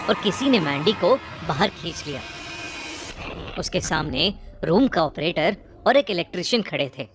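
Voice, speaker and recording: A woman narrating a scripted horror story in a measured, suspenseful way, phrase by phrase. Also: low-pitched. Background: prominent music bed.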